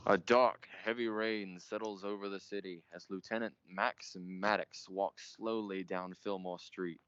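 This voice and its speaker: An actor playing a pompous, melodramatic 1940s radio mystery host, theatrical and portentous in manner. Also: male voice, low-pitched, fairly even intonation, unhurried, fairly bright tone. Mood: brooding. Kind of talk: old-time radio mystery narration. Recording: muffled, noisy amateur stage recording.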